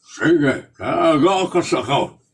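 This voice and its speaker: A man's voice: a little high, lively intonation, takes it slowly, creaky, breathy edge, full character voice. A man playing a reluctant, grumbling fellow in an Ilocano comedy drama, with an Ilocano accent and a hesitant, drawling delivery.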